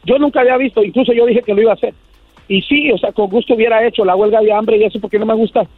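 A man's voice: high-pitched, fairly even intonation, racing, no echo. A middle-aged Mexican man from Michoacán, an activist guest giving impassioned commentary in an interview. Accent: Mexican Spanish. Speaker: migrant shelter founder and activist, interview guest. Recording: muffled tinny phone line, faint music.

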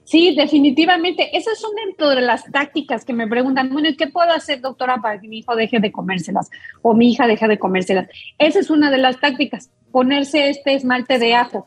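A woman doctor explains a health topic as a guest on a talk show, taking her time and pausing on fillers. Her voice sits a little high and sounds husky and breathy, recorded dry and close. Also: no echo. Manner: explains patiently, with many fillers and restarts.

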